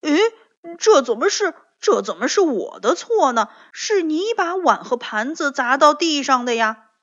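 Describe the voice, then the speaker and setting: A small storybook frog with a high, childish voice, put on by a woman. It is plucky and emphatic, and the recording sounds slightly dull. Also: slow pace, a bit bright. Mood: indignant. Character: young frog, defiant little child-like friend.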